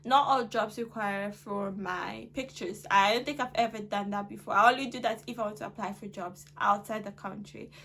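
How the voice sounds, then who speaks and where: A woman's voice: mid-range voice, moderate pace, gravelly, few pauses. A young Ghanaian woman giving earnest, emphatic advice to camera in a vlog. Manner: earnest, animated, rising to emphatic exhortation. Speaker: vlogger.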